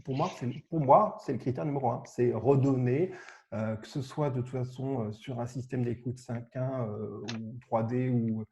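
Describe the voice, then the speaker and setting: A man sound engineer on a panel over a video call, explaining haltingly with stammers and restarts. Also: mid-range voice, fairly even intonation, a little mellow. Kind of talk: technical panel discussion.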